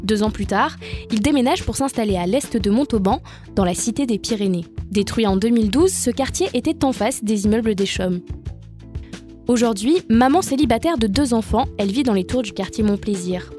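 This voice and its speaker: A woman with a somewhat high voice reads a scripted documentary narration. Faint music plays underneath her words. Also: lively intonation, fairly quick, dark tone.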